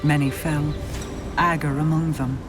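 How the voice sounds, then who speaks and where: A woman voicing a calm, commanding alien queen in a video game cutscene, telling a solemn story in a measured way. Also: low-pitched, over music. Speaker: queen character. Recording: game audio with loud score behind.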